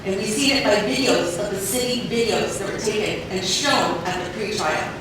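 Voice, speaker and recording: A woman giving steady, earnest testimony as a public commenter at a board meeting. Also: mid-range voice, normal speed. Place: large public meeting chamber. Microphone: body camera across the room. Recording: echoey hall heard from far off, noisy.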